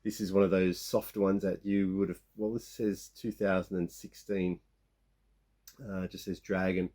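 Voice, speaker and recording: A man in his fifties with a croaky sore-throat voice, rambling casually as he shows off his toy finds. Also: low voice, little pitch movement, moderate pace, warm tone. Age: 50s. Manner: rambling, many restarts and fillers. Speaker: toy collecting vlogger. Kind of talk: casual show-and-tell of toy haul.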